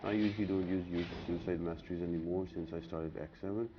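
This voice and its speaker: A man's voice: deep voice, steady tone, quick pace, highs cut off. A man hosting a gaming guide, explaining a walkthrough step by step and addressing viewers directly in an instructional way. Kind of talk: explanatory game walkthrough commentary. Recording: clearly noisy background.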